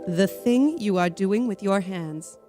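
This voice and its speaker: A man's voice: high-pitched, slow pace, voice pushed up. A man voicing a prim, aloof lady who speaks in formal, scolding sentences. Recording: soft music underneath.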